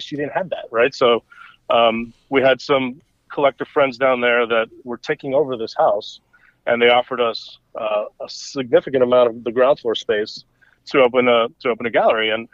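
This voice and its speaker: A man's voice: mid-range voice, fairly even intonation, fairly quick, no echo. Rambling man, dull lo-fi sound. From El Salvador. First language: Spanish. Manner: rambling, frequent fillers and restarts. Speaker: gallery owner, guest. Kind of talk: casual conversation. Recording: dull lo-fi audio.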